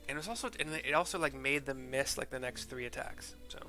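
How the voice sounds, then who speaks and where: Male game streamer, informal and rambling, fry-heavy mid-pitched voice over prominent music. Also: fast, pushed and bright. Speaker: game streamer reading quest dialogue aloud. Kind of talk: casual gameplay commentary and narration.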